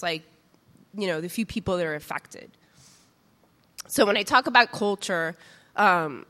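A woman's voice: lowish voice, a bit bright. A woman giving a casual, filler-heavy conference talk, a little nervous. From New York. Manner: chatty, informal, many fillers. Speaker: conference speaker. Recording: reverberant hall.

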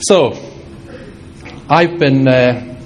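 A man with a Scottish accent giving a fast, halting technical talk to a hall audience, with many restarts and fillers. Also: mid-range voice. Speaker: conference speaker.

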